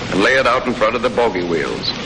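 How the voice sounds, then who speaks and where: An American man reading scripted 1940s military training film narration in a clear, matter-of-fact, instructive manner. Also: lowish voice, sing-song, quick pace. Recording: noisy old 1940s film soundtrack.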